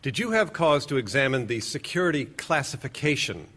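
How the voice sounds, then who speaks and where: Government counsel, lively intonation, medium pitch, emphatic, formal, assertive. Courtroom radio play. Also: male voice, brisk. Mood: formal, leading.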